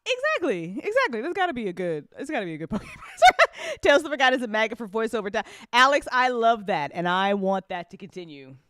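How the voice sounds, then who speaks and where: Chatty woman, clear dry voice. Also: on the high side, very animated, fast talker, fairly bright tone, no room sound. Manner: chatty, informal, swearing, repeats phrases for emphasis. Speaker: host of an audio drama after show. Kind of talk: casual live stream chat. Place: close indoor mic on a live stream.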